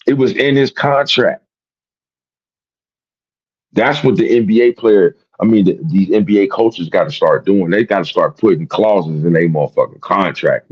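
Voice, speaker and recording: A man's voice: low-pitched, darkish tone, breathy edge, highs cut off. A man hosting a sports talk show, rambling and repeating himself in casual, profane commentary. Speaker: sports podcast host.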